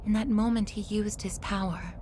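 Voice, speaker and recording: An actress voices a young female android, gentle and earnest, in game drama. She speaks politely and carefully at a middle pitch, over faint background sound. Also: level tone, normal speed, a little hiss or hum, close to an everyday voice. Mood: earnest, resolute.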